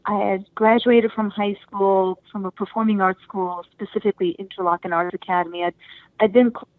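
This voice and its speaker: A woman's voice: medium pitch, fairly even intonation, a little fast, no echo. A woman guest telling stories in a casual interview, chatty and laughing often, switching into playful voices for quoted people. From Japan and United States. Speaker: interview guest, singer and actress. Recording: dry, tinny phone-like line.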